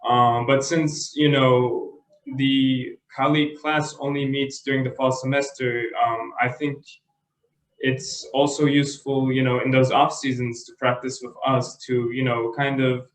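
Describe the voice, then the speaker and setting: A man's voice: mid-range voice, little pitch movement, measured pace. Club moderator, man, hesitant, lots of ums, echoey video call room. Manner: hesitant, many fillers.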